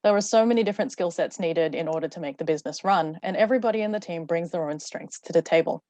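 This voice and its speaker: A woman delivers a rehearsed webinar talk at a brisk clip over a video call. Her voice sits a little low, and the sound is dry and close. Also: a little mellow, no echo. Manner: fluent, rehearsed. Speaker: webinar speaker. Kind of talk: prepared talk.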